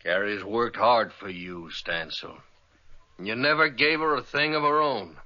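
An actor playing a big, protective, plainspoken ranch boss with a western American accent, determined and earnest, in a radio western. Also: male voice, fairly low pitch, measured pace, bright, forceful tone, exaggerated voice. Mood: firm, angry. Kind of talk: 1950s radio western acting. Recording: dull old radio recording.

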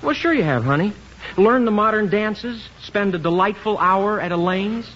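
An actor playing a defensive, easily exasperated young husband in 1950s radio comedy style. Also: male voice, sits high, expressive, normal speed, a bit bright. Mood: breezy, evasive. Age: young adult. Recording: muffled, noisy 1950s radio recording.

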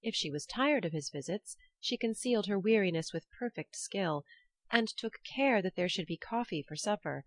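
A woman's voice: medium pitch, animated, moderate pace, no echo. A woman reading scripted storybook narration as an audiobook narrator.

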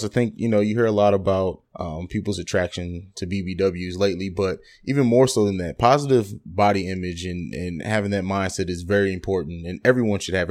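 A man's voice: fairly low pitch, a little mellow. A man hosting a podcast interview, casual and affirming, who often repeats "absolutely" and rambles through his thoughts. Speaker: podcast host.

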